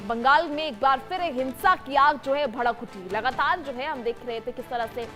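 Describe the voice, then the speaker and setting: A woman's voice: high voice, fairly bright tone. A woman news anchor narrating a breaking report in an emphatic, formal broadcast delivery. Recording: music bed under the voice.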